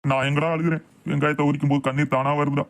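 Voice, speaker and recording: A man's voice: a little high, little pitch movement, rapid-fire, lightly acted. A man voicing a boastful, grumbling husband and father in a comic cartoon. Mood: flustered. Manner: blustering, complaining.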